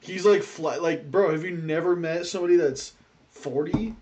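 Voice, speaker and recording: A man's voice: fairly high pitch, moderate pace, a little mellow, lo-fi sound, faint music behind. Blunt, slangy male reaction host. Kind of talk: casual reaction commentary.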